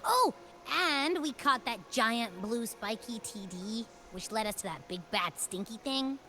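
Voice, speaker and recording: A woman voicing a peppy, loyal young girl companion in a video game, with a casual, slangy, expressive way of talking. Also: a little high, a little slow, soft background music. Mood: insistent, earnest. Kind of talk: video game story dialogue.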